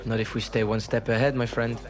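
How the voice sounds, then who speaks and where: A man's voice: mid-range voice, little pitch movement, fairly quick, a crowd in the background, natural voice. An actor playing a self-assured, friendly footballer who banters easily with his teammate in a game cutscene. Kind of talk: video game cutscene acting.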